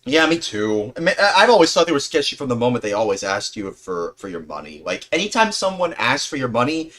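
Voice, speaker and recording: A man's voice: mid-range voice, very animated, fairly quick, fairly bright tone. A man in his mid-twenties chatting casually as a livestream host, rambling earnestly and often repeating and rephrasing himself.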